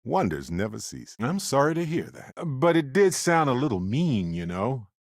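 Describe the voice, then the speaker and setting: An actor playing an affable, witty, talkative space explorer in a video game, with an expressive, wry, folksy way of speaking. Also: male voice, mid-range voice, normal speed, no room sound, clean studio sound. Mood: reflective, wry. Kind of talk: scripted video game character dialogue.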